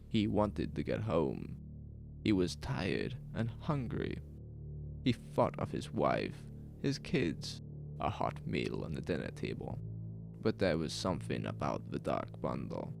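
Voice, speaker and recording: A man narrating a scripted horror audio drama in a deliberate, suspenseful storytelling manner. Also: a bass voice, big pitch swings, creaky. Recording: music behind the voice. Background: music.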